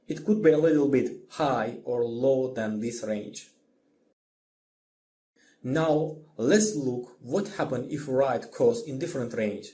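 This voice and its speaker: A man giving a scripted music lesson as a lecturer, in a measured, didactic manner. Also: mid-range voice, dark tone. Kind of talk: scripted instructional narration.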